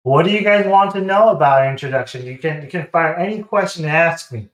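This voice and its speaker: A man with a Taiwanese accent speaks in a slightly high voice in an echoing room. He tells stories casually as a podcast guest, acting out bits of dialogue. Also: fairly quick, a bit bright. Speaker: guest, sponsored competitive shooter. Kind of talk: casual interview storytelling. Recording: roomy, echoing sound.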